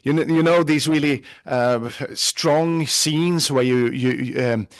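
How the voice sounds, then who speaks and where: A male actor from Sweden with a Swedish accent, answering interview questions in a halting way with many restarts. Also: a little high. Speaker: actor guest.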